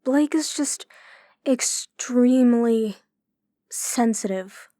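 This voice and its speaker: An actress in an audio drama playing a gentle, troubled woman who speaks hesitantly and confidingly. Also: a little high, drawn-out words, no echo. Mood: sad, confiding. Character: gentle, troubled woman opening up to her brother.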